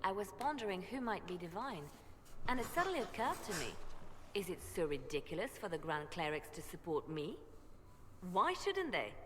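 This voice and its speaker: An actress playing an idealistic woman spymaster who argues for reform with measured, persuasive conviction in a video game. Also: on the low side, big pitch swings. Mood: earnest, resolute. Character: idealistic, reform-minded woman spymaster seeking high church office. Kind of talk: scripted video game dialogue. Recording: long hall reverb, faint noise and ambience.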